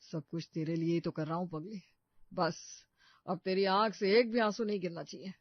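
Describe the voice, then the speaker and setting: A man's voice: high voice, fairly even intonation, moderate pace, no room sound. A man playing a protective, scheming young brother in a cartoon story, with an assured, earnest delivery. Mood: affectionate. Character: protective, scheming young working-class brother posing as rich suitor. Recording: muffled dry studio recording.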